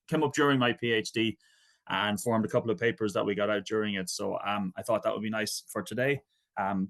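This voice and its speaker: An Irish man in his thirties with a fairly low voice, speaking over a video call. He gives his lecture in a relaxed, conversational way and drops in frequent asides. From Ireland. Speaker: guest lecturer. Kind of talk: online academic talk.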